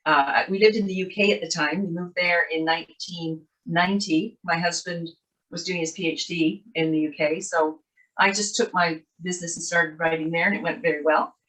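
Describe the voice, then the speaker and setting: A woman's voice: fairly low pitch, steady tone, normal speed, fairly bright tone, rough recording. Chatty older woman telling her story. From Canada. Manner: chatty, rambling storyteller with asides. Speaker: guest author. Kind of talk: casual interview chat.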